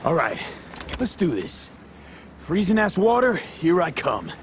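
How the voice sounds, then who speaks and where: An actor playing a wry, cocky young street mercenary in a video game, talking in short slangy lines. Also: male voice, a little high, huge pitch swings, a little slow, a little hiss or hum. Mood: gung-ho. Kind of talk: cinematic game dialogue.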